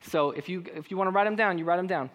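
A man with a high voice preaching a sermon, talking straight to the congregation and asking them one question after another. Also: fast, a bit bright. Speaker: pastor.

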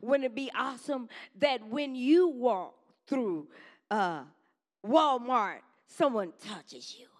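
A woman preaching a sermon to her congregation in a conversational style, with quick call-and-response asides to the church. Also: high voice. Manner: says she has a strong voice.

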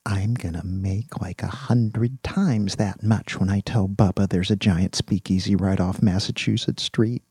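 A man's voice: low-pitched, steady tone, unhurried, few pauses, mellow, husky, no echo, studio quality, full character voice. A man voicing an eager, naive young male college student in an audiobook, chatting in casual slang. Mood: smug, scheming. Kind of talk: audiobook character dialogue.

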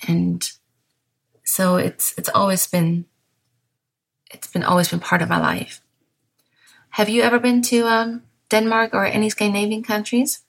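A woman in her thirties with a German accent, hosting a gentle podcast monologue in a soft, unhurried, mindful way. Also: medium pitch, fairly even intonation, creaky. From Bavaria, southern Germany. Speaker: podcast host.

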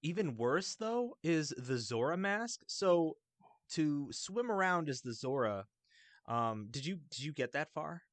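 A man chatting casually about video games in a rambling, halting, enthusiastic way. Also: a little high, big pitch swings, no room sound. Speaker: video game podcast panelist.

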